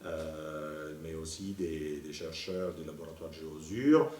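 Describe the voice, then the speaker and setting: A man, a planetary scientist explaining his research in a measured way, with frequent hesitations. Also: very deep voice, darkish tone, echoey room, distant mic. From Italy.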